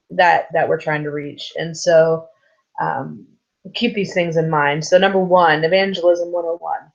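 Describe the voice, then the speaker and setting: A woman teaching a recorded church lesson off the cuff, hoarse from a sore throat, with many fillers and restarts. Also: on the low side. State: sore throat, losing her voice. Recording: slightly dull, lo-fi recording.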